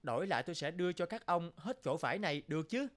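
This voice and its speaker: A man's voice: on the high side, lively intonation, quick pace, close to an everyday voice. A man voicing a kind young peasant in a fairy-tale cartoon, courteous and earnest. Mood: polite, hopeful.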